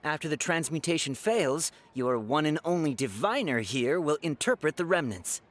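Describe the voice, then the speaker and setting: A man's voice: fairly high pitch, lively intonation, moderate pace, close to an everyday voice. A man voicing an eager, affable, slightly bumbling fortune-teller at a market stall in a video game. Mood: salesman-like. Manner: salesman-like, theatrical, hesitant when unsure. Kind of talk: video game character acting.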